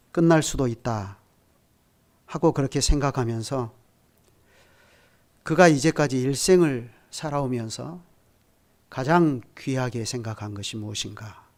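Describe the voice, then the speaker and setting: A man in his sixties preaching a sermon at a midweek prayer service, measured in delivery and building to emphatic peaks while speaking directly to the congregation. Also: mid-range voice, a little mellow. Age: 60s. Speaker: pastor.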